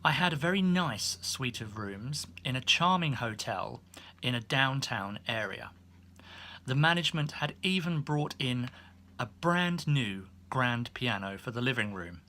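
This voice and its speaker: Bright, lively male audiobook narrator. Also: medium pitch, unhurried. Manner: expressive storytelling. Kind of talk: scripted narration of a humorous first-person memoir.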